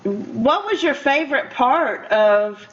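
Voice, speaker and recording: A woman's voice: high voice, expressive, takes it slowly, muffled recording. An older woman hosting a casual radio interview, chatty and rambling as she talks to her guest. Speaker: radio show host.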